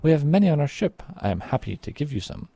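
An earnest male merchant sails through a spoken folk tale, climbing high with eager, emphatic exclamations, as a male storyteller puts him on. He stays gravelly under the excitement and is recorded close and dry. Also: quick pace, no echo, dull audio, full character voice.

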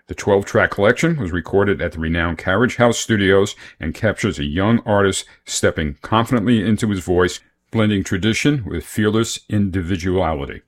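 A man's voice: low voice, moderate pace. A man hosting a radio music show, reading album notes and reviews from a script.

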